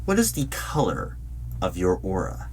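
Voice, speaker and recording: A man performing a spoken ASMR roleplay as a suspicious, probing hiring manager of a secret bureau, asking questions deliberately with dramatic pauses. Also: sits low, huge pitch swings. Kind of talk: loud-spoken ASMR roleplay, one-sided interview. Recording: clear hum and keyboard typing noise. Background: typing on a computer keyboard.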